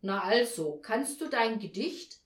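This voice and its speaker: A woman's voice: animated, a little slow, reverberant, lightly acted. A woman voicing a stern old Saint Nicholas who questions like a schoolmaster in a children's storybook. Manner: stern, booming, interrogating.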